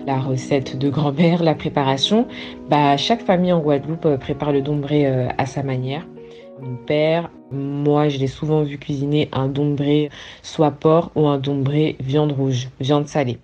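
Flat, mellow woman telling a hesitant story. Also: sits low, lo-fi sound, faint music behind. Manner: hesitant, many filler pauses. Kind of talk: personal recipe story.

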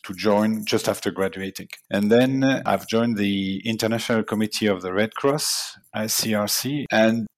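A man in his fifties from Switzerland, a guest on a podcast interview, recounting his career in a measured way with fillers and restarts. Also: fairly low pitch. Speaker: guest, people management consultant.

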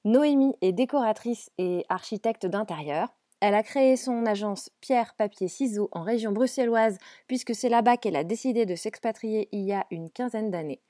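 A woman's voice: medium pitch, normal speed, few pauses, dry recording, clean studio sound. A woman hosting a home renovation podcast, reading a cheerful scripted intro and then chatting with her guest with many fillers. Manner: bright, upbeat delivery in the read intro, hesitant with fillers in conversation. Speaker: podcast host.

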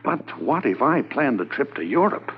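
An actor playing an undercover police corporal who poses as a millionaire, confident and lightly wry, in a 1950s radio drama. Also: male voice, mid-range voice, big pitch swings, normal speed, natural voice. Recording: muffled lo-fi old radio transcription with faint hiss.

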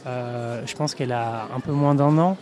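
A man answering interview questions at a trade fair, speaking in measured phrases with frequent hesitations. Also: mid-range voice, a little mellow. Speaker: in-house lawyer, guest.

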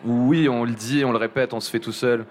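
A young man speaking casually as an interview guest, halting and restarting often, with many fillers. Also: medium pitch, fairly even intonation, a little hiss or hum. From Montpellier area. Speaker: journalist guest.

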